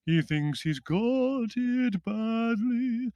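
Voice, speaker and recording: A man voicing a tipsy, sentimental British gentleman for an audiobook, with a very British baritone. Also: takes it slowly, no room sound, lo-fi sound. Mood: sentimental singing.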